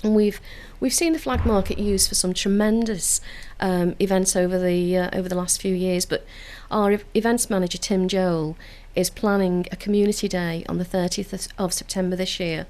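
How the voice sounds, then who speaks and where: A woman's voice: medium pitch, fairly even intonation, moderate pace, hardly any pauses, darkish tone. Liverpudlian woman, earnest and upbeat. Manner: earnest, enthusiastic, with frequent filler restarts. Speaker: council community engagement officer. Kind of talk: radio interview. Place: radio studio.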